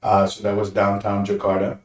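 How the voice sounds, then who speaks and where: A man's voice: fairly low pitch, completely flat delivery, brisk, darkish tone, reverberant, distant mic. A man in his late thirties with a mixed, American-leaning international accent and Tamil as his first language, chatting loosely as an interview guest, often restarting his sentences. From Malaysia. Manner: rambling, restarts often, laughs easily. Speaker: guest, business owner. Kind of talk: casual interview chat.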